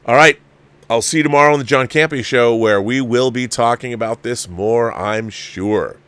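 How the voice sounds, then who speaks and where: A man's voice: medium pitch, moderate pace, fairly bright tone, clear, resonant tone. Man rambling through a casual review. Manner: rambling, emphatic, repeats phrases. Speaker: host of a film review livestream. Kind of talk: casual off-the-cuff movie review chat to viewers.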